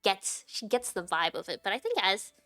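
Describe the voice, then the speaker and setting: A woman's voice: medium pitch, expressive, moderate pace, bright, forceful tone. Japanese-native woman streamer just chatting, lots of "like" and restarts, faint music in the back. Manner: rambling, many fillers and restarts. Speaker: livestreamer. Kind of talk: casual stream chat.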